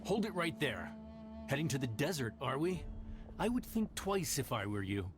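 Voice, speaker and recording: A man's voice: mid-range voice, sing-song, normal speed, lightly acted. A man voicing a smug mercenary thug in a video game, mocking and falsely friendly as he threatens, with music behind him. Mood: smug, menacing. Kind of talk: scripted video game dialogue.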